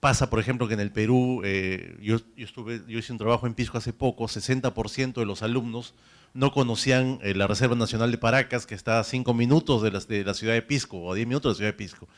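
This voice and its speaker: A man from Peru with a Peruvian accent answering on a panel, brisk, with restarts and examples. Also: mid-range voice. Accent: Peruvian Spanish.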